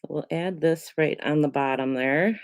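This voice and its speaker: A woman with a low voice talks through a card-making demo in a casual, rambling way, filling gaps with ums as she works. She sounds a bit congested from a lingering cold. The recording is close and dry. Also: moderate pace, no echo. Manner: chatty, relaxed, many ums, talks while working. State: congested, getting over a cold. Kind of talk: casual crafting tutorial.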